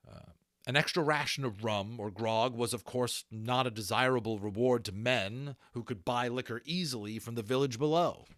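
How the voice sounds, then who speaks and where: A man narrating an audiobook as an expressive storyteller, dropping into casual asides now and then. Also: mid-range voice, moderate pace, no room sound, studio quality.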